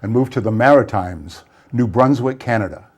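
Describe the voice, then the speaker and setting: A Scottish-born man in his sixties, a guest author and songwriter telling wry, brisk anecdotes in a studio interview on a lapel mic. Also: medium pitch, a little mellow. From Scotland. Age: 60s. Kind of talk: casual interview storytelling. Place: small TV studio set.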